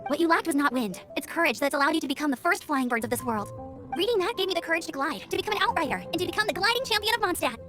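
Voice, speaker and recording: Perky teenage girl scout, very high voice, quick pace, eager and earnest, video game character. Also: animated, over music. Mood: embarrassed, sincere.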